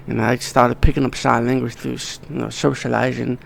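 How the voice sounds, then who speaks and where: A middle-aged man talking as a podcast guest in casual conversation, blunt, swearing freely, with halting restarts. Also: mid-range voice, flat delivery, vocal fry, a little hiss or hum. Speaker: podcast guest, wedding videographer.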